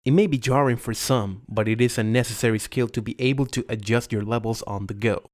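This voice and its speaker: A man reading his own scripted voiceover in a measured, formal way, close to a USB condenser mic at home. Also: mid-range voice, steady tone, a little mellow. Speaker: video creator.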